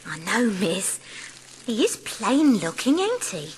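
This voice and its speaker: A woman's voice: mid-range voice, big pitch swings, takes it slowly, slight background noise, low-quality recording. A woman playing a humble, curious young servant girl with a working-class English accent and colloquial, deferential speech in an audiobook drama. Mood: curious, shy.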